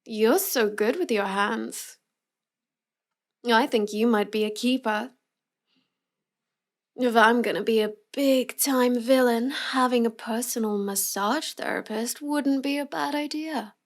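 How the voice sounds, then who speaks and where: Slow, high-voiced cute villainess acted by a woman. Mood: smug, pleased. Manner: slow, teasing delivery with drawn-out words and giggles. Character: cute, teasing, mocking young villainess with mind-control powers. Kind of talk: intimate roleplay audio acting addressed to the listener.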